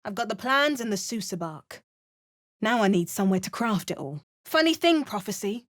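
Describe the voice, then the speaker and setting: A woman's voice: medium pitch, big pitch swings, moderate pace, close to an everyday voice. Actress as a young woman adventurer for a fantasy game, dry wit, wry remarks. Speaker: player character adventurer. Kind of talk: game voice lines.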